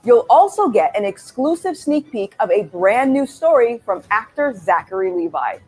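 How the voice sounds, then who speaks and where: A woman voices a promotional trailer with a fairly high, very lively pitch. Her delivery is bright and salesy. Faint music plays beneath her. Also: poor audio. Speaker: trailer narrator. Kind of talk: upbeat promotional voice-over.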